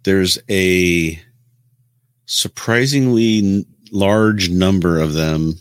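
Low-voiced man, casual and dry-sounding. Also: very slow, clear, resonant tone, no echo. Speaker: podcast co-host. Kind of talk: casual chat.

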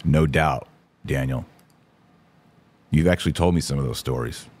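Low-voiced male podcast host. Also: normal speed, a little mellow. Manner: informal, opinionated, emphatic, slangy. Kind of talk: casual livestream commentary, answering viewer comments.

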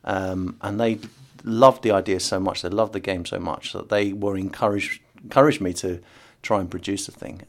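A man's voice: low-pitched, steady tone, moderate pace, hardly any pauses. A middle-aged man from the UK, a podcast guest talking casually with many fillers and restarts. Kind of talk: casual interview conversation.

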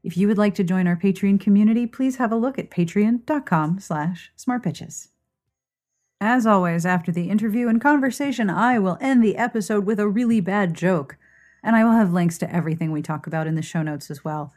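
A woman's voice: mid-range voice, fairly quick, a little mellow. A woman hosting a podcast, reading scripted intros and sponsor messages. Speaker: podcast host. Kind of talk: scripted podcast narration and ad reads.

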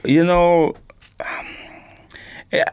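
A man's voice: high-pitched, flat delivery, extremely slow, darkish tone, smooth. A man hosting a podcast, chatting casually and informally with plenty of slang. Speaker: podcast host. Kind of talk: casual sneaker podcast chat, unboxing.

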